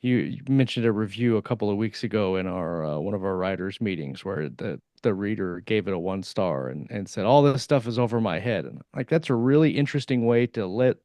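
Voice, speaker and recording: Low-voiced man on a dry podcast mic. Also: fairly quick, few pauses, darkish tone, no echo. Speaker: podcast co-host and author. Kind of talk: casual podcast conversation.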